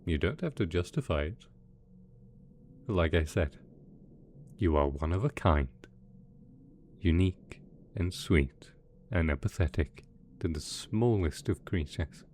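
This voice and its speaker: Man acting a low-voiced, doting supervillain boss. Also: animated, slow, long pauses, a little mellow, a little hiss or hum. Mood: affectionate, soothing. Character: doting, possessive male mad scientist supervillain boss. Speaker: voice actor in audio roleplay. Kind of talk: ASMR roleplay acting.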